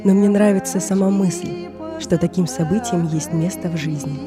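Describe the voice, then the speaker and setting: A woman's voice: on the low side, normal speed, over music. A woman narrating a scripted personal audio essay in a reflective, contemplative way. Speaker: podcast narrator.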